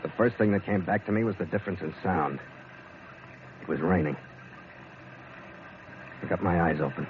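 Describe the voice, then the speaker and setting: A man's voice: deep voice, moderate pace, pauses often, close to an everyday voice. An actor playing a tough male sea captain who narrates his own story in a blunt, clipped way. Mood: narration. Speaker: narrator and lead. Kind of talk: 1940s radio acting. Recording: dull old radio broadcast, loud hiss.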